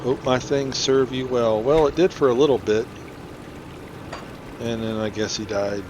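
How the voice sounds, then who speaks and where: A man's voice: medium pitch, fairly even intonation, measured pace, highs cut off, background noise. A man giving casual, informal gameplay commentary, thinking aloud as he plays. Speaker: gamer, playthrough host.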